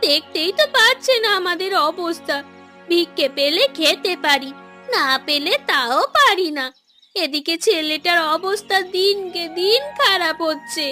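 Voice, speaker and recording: A woman's voice: very high voice, animated, unhurried, pushed and bright, heavily acted. A woman playing an aggrieved, defiant mother from a poor village in a fairy-tale cartoon, with a plaintive, protesting delivery. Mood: pleading, apologetic. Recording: music behind the voice. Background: background music.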